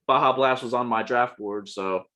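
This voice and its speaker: A man's voice: medium pitch, moderate pace, pushed and bright. A young man, co-hosting a podcast in upbeat, emphatic, slangy casual chat. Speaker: podcast co-host.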